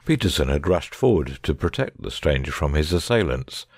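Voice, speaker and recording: A man playing a keen, analytical gentleman detective in a dramatic audiobook reading, precise, discursive and wry. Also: rumbling low, very animated, moderate pace, husky, no room sound, studio quality, exaggerated voice. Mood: explaining.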